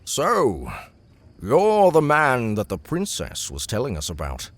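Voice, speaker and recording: A man's voice: mid-range voice, wildly swooping pitch, slow, heavily acted. An actor playing a genial, gracious elven king in an audiobook, with a courtly, formal, theatrical manner. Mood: curious, formal. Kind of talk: audiobook character acting.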